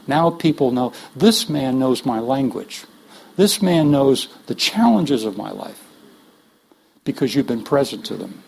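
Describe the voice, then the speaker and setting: Gravelly man teaching pastors. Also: medium pitch, sing-song, a little slow, darkish tone. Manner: earnest, joking asides, frequent restarts. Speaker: workshop leader teaching pastors. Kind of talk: conversational teaching talk.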